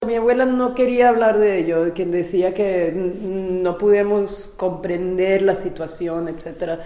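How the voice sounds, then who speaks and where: A woman, a playwright giving an interview, talking in a casual recollecting way with pauses, fillers and uneven pace. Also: mid-range voice, warm tone, reverberant. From Venezuela. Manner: hesitant, restarts and fillers, speeds up and slows down.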